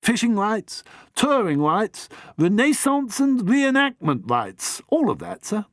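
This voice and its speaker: A man's voice: high voice, big pitch swings, slow pace, fairly bright tone, no room sound, studio quality, voice pushed up, exaggerated voice. A man voicing a cheerful young who gushes and stammers when caught out. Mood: breezy. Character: jolly young upper-class man, eager and flustered.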